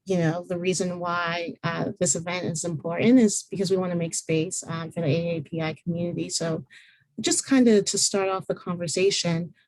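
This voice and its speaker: A woman's voice: on the low side, fairly even intonation, moderate pace, darkish tone, no room sound, low-quality recording. Woman moderator with a dry, close sound. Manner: friendly, many fillers and restarts. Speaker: panel moderator. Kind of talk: casual online panel hosting.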